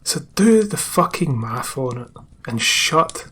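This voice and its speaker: A man's voice: medium pitch, sing-song, takes it slowly, a bit bright, husky, heavily acted. An actor in a radio drama playing an irritable, foul-mouthed man with a blunt, snappy delivery. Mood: irritated.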